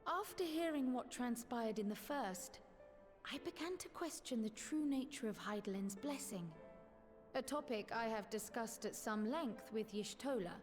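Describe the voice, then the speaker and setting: A woman voicing an earnest, resolute young female scholar in a fantasy video game, speaking in a formal, measured, articulate way. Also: on the high side, expressive, faint music behind, lightly acted.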